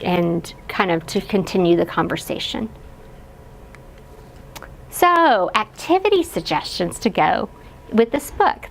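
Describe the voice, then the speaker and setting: A woman educator giving instructional guidance to parents, explaining and modeling simple questions as if for a young child. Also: medium pitch. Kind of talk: instructional read-aloud guidance.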